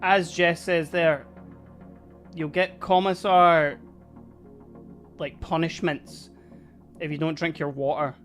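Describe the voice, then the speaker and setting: A live-streaming miniature painter chatting casually and giggling a lot while painting, a little hoarse from laughing. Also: medium pitch, steady tone, takes it slowly, pauses often, a bit bright, faint music behind. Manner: chatty, giggly, rambling, addresses chat.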